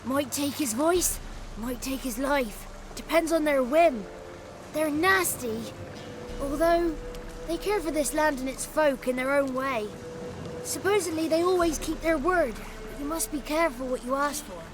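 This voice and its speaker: High-pitched cheeky little swamp creature. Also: female voice, measured pace, music in the background. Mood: ominous. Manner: florid wordplay, boastful. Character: cheeky, theatrical, talkative little swamp creature, male, childlike. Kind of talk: video game character acting.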